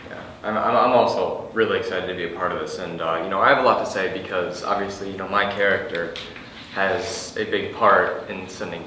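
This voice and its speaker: A man speaking earnestly as an actor in a group discussion, haltingly, repeating words and restarting. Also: low voice, fairly even intonation, pushed and bright. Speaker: actor at a table read. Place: indoor room with a group. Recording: distant, very echoey room, noisy.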